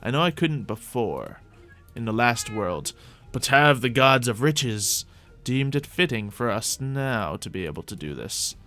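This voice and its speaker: A man's voice: mid-range voice, big pitch swings, measured pace, sharp tone. A man hosting a gaming series, thinking aloud in light roleplay with mock-archaic, formal fantasy phrasing. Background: music.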